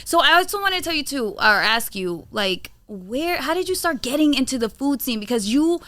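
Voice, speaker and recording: Animated high-voiced woman hosting a chat. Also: brisk, no room sound. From Florida. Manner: animated, enthusiastic, many fillers. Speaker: podcast host. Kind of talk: casual interview chat.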